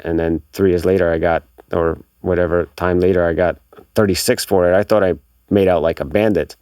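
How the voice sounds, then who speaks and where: A middle-aged man from Bulgaria, a guest telling personal stories casually in an unhurried, halting, reflective way. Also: low voice, darkish tone, no room sound, clean studio sound. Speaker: podcast guest, car enthusiast. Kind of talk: casual interview storytelling.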